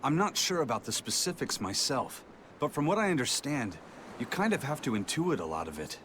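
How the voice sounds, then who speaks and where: An actor playing a polite, thoughtful male companion in a video game, explaining things in a measured way. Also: medium pitch, sing-song, a bit bright, slight background noise. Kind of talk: video game cutscene acting.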